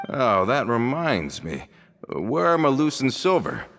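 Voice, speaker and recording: An actor voicing an earnest, gentlemanly gang member in an expressive, dramatic video game style. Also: male voice, medium pitch, measured pace, exaggerated voice. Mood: curious, friendly. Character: earnest gentlemanly man, loyal gang member back from prison. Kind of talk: dramatic game dialogue acting. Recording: long reverb, music underneath.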